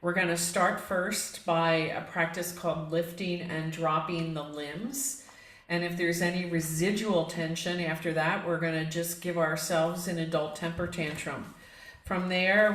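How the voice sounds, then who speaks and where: A woman with a slightly low voice guides a meditation in an echoey room. She speaks as a yoga instructor, gently and in a measured way, inviting listeners along with each instruction. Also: steady tone.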